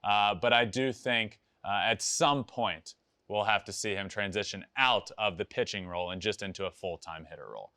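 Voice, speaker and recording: A young American man with a fairly low voice talks sports as a podcast host. His delivery is bright and forceful, conversational and emphatic, often repeating a phrase for stress. The sound is dry and close. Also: moderate pace, no echo. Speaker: podcast host and former professional baseball player. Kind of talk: casual sports talk answering listener voicemails.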